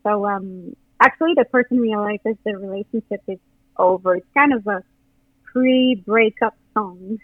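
A woman's voice: medium pitch, measured pace, dry recording. A woman in her thirties whose first language is French, speaking English with a Quebec French accent, hesitant and full of fillers and restarts as an interview guest. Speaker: guest musician. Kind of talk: casual interview over the phone. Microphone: phone. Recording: tinny dry phone line.

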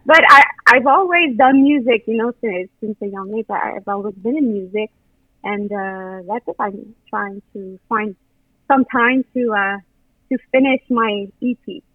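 A woman's voice: fairly high pitch, a little slow, dry recording. A woman in her thirties whose first language is French, speaking English with a Quebec French accent, hesitant and full of fillers and restarts as an interview guest. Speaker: guest musician. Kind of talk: casual interview over the phone. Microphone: phone. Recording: tinny dry phone line.

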